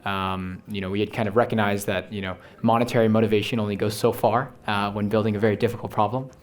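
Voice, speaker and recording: A young man, a startup founder on a conference panel, talking quickly and eagerly, often tagging his points with "right?". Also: fairly low pitch, little pitch movement. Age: young adult. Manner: rapid, punctuates with "right?". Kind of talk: fast, enthusiastic pitch talk. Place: conference stage before an audience.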